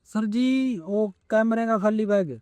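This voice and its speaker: North Indian man, delivery worker, colloquial chat, mellow tone, dry sound. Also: sits high, takes it slowly, no room sound. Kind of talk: casual colloquial conversation.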